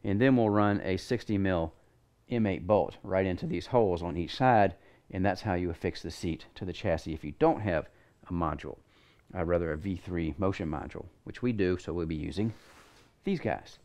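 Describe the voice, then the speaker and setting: A man's voice: low voice, moderate pace, a little mellow. A middle-aged man giving a casual hands-on product review in his home garage, rambling and thinking aloud as he works.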